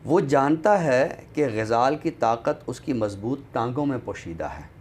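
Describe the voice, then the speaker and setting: A man with a Pakistani accent discussing books in a deliberate, scholarly way, often pausing mid-sentence. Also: medium pitch, expressive, a little mellow. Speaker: literary show presenter. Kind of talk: book discussion in Urdu literary talk. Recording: noticeable background noise.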